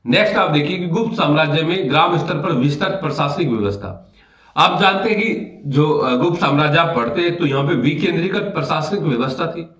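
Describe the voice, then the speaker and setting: A man's voice: on the high side, animated, a little fast, fairly bright tone. An Indian man teaching history in an exam review lecture, instructive, repeating phrases and addressing students directly. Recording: dull, echoey room, distant microphone.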